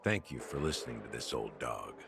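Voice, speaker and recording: A male actor playing a weary, remorseful middle-aged officer in a video game, speaking slowly and broodingly with heavy pauses. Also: a bass voice, lively intonation, creaky, noisy background, heavily acted. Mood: weary, sincere. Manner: slow, brooding, measured delivery with heavy pauses. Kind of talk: scripted video game dialogue.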